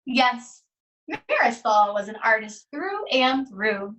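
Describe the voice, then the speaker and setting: A woman teacher reading a children's storybook aloud, with deliberate, expressive phrasing and pauses. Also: fairly high pitch, distant mic.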